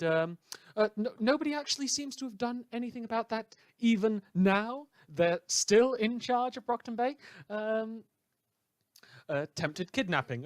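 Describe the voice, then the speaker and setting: A man's voice: a little high, dry recording, lightly acted. A man playing an opinionated, sardonic radio host delivering an indignant monologue in a fictional radio drama. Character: opinionated male radio host ranting about corrupt heroes.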